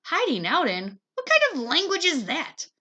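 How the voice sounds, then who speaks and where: A woman's voice: high voice, moderate pace, pitched above the performer's own voice, full character voice. Woman doing a cheeky, squabbling little brother. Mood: scoffing. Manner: contrary, excitable, quarrelsome. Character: cheeky, argumentative little brother, a stubborn young boy. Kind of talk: children's storybook dialogue.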